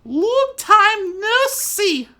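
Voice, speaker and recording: Man doing a squeaky falsetto prissy young woman. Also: very animated, very slow, sharp tone, full character voice. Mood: smug, haughty.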